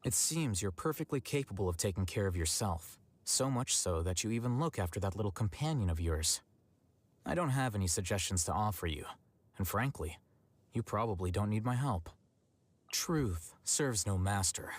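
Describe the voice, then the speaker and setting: An actor playing an aloof, blunt male scholar in a video game, speaking in a dry, measured, matter-of-fact way. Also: on the low side, expressive. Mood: calm, philosophical. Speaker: game character. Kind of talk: scripted game character voice lines. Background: music.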